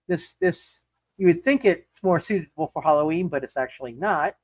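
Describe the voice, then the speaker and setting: A man with a fairly high voice hosts a casual roleplay stream as game master. He speaks haltingly, doubling back over his words. The sound is close and fairly dry. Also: dry recording. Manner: halting, repeats words and restarts often. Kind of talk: casual livestream chat and recap.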